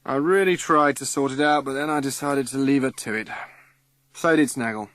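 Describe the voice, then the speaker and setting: A man's voice: mid-range voice, normal speed, a bit bright, no echo, pitched above the performer's own voice, lightly acted. A male reader voicing an earnest, insistent boy who says he sees a ghost. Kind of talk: audiobook character reading.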